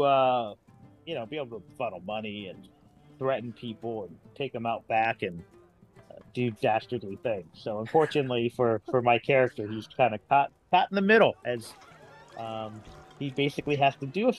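A middle-aged man in casual podcast chat as a guest, rambling haltingly and repeating words as he explains. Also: mid-range voice, very animated, soft background music.

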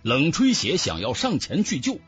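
A man's voice: a little high, wildly swooping pitch, normal speed, a bit bright, soft background music. A man narrating a fantasy audiobook in a dramatic scripted style.

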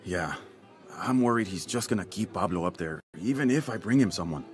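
A middle-aged man playing an anxious, grateful working-class village father in a video game cutscene, speaking in a halting, earnest way. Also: deep voice, expressive, soft background music. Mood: worried.

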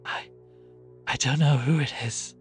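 A man's voice: mid-range voice, fairly even intonation, moderate pace, slightly rough, faint music behind, natural voice. A man voicing a timid, terrified maid in a storybook reading, faint, hesitant, gasping and stammering.

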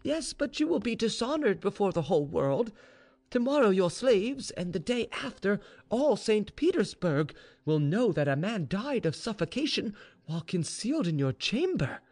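A man's voice: high-pitched, sing-song, moderate pace, a little mellow, pitched above the performer's own voice, heavily acted. A man voicing a shrewd young Russian maidservant who counsels her mistress with insistent, reasoned urgency in a storybook reading. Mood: urgent persuasion.

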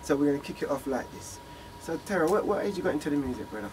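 A man hosting a casual quick-fire interview in a small studio, prompting his guest with short replies. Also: fairly high pitch, sing-song, noisy background. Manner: brief encouraging backchannels. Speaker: interview host. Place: small studio room with screens.

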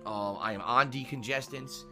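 A man's voice: mid-range voice, normal speed, sharp tone. A man streaming a video game and chatting casually while congested with a cold. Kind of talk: casual live-stream chat.

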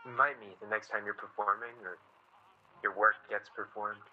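An actor playing a sardonic but earnest young newspaper writer, conversational and exasperated, in a radio play. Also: male voice, lowish voice, fairly even intonation, normal speed, narrow, tinny audio, slight background noise, close to an everyday voice. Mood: encouraging. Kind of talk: radio play acting, naturalistic dialogue.

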